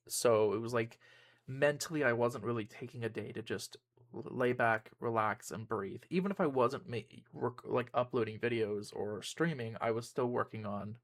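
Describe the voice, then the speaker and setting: A young man, a YouTuber and streamer, giving a casual personal update to his viewers, rambling with frequent ums and restarts. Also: medium pitch.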